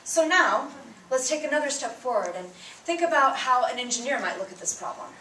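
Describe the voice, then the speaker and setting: A woman's voice: high-pitched, sing-song, fairly quick, bright, forceful tone, a little hiss or hum. A young woman student, a native Bengali speaker, giving a prepared public talk in an earnest, emphatic way, lifting her voice at the start of each point. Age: young adult. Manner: earnest, emphatic, rising on openers. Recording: roomy sound, mic at a distance.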